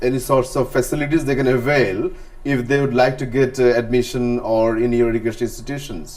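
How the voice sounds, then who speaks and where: A man's voice: mid-range voice, steady tone, fast, darkish tone. A man hosting a TV interview, asking formal questions with hesitant fillers. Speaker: TV presenter.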